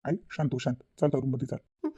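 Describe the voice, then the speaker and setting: A man's voice: medium pitch, level tone, fast, mellow, slightly rough, dull audio, natural voice. A man playing a concerned, stern adult son in a cartoon family drama, questioning and giving orders. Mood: concerned, commanding. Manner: questioning, commanding. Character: concerned, stern adult son and husband, taking charge at home.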